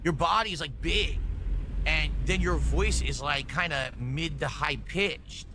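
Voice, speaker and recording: A man's voice: on the high side, takes it slowly, background noise. A man streamer in a casual, rambling monologue, repeating and justifying himself as he goes.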